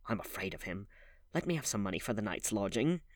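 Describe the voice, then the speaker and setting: A man's voice: fairly low pitch, big pitch swings, fast talker, no echo, clean studio sound. Man voicing a bitter, haggard barwoman, emphatic and raised. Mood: fearful whining. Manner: hissing, sneering, coarse working-class speech. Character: bitter, haggard middle-aged barwoman, scornful and desperate.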